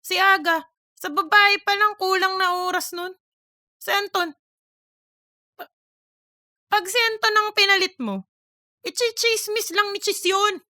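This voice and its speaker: Woman playing a very high, slangy joker guy. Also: steady tone, measured pace, long pauses, sharp tone, dry recording, studio quality, full character voice. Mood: teasing. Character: slangy, teasing male office buddy, self-promoting joker. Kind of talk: scripted comic audiobook dialogue.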